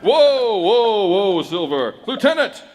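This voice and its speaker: A man's voice: high-pitched, very animated, slow, a little mellow, soft background music, full character voice. A man playing a heroic cowboy lawman in a declamatory old-time radio drama style. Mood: alert, excited.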